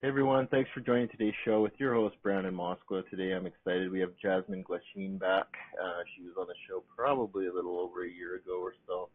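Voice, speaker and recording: A middle-aged man hosting a podcast interview, sounding nasal from a cold, talking in a relaxed, rambling way with frequent ums. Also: low voice, fast talker, hardly any pauses. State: has a cold. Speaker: podcast host. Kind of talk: casual interview chat. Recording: muffled phone-like line.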